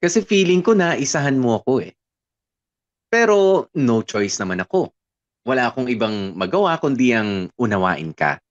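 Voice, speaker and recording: A man's voice: medium pitch, sing-song, moderate pace, pauses often, lo-fi sound, lightly acted. A Filipino actor narrating as a wistful young man confessing old courtship frustrations in a radio drama. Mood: exasperated, wistful. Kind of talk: dramatized first-person story narration.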